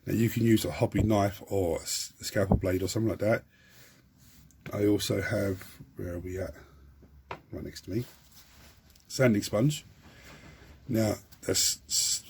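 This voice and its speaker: A man hosting a model-building tutorial, talking in a chatty, rambling way with a croaky, hoarse voice and many restarts. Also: lowish voice, darkish tone. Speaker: hobby channel host.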